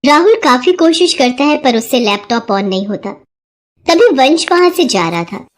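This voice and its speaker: A woman narrating a children's moral story in an even storybook manner. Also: high voice, normal speed, slight background noise.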